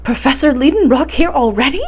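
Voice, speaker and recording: An anxious household cook from an old storybook, acted out by a woman reader. She speaks high and fretfully, exclaiming in a flustered way. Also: animated, fairly quick, a little mellow. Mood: anxious surprise.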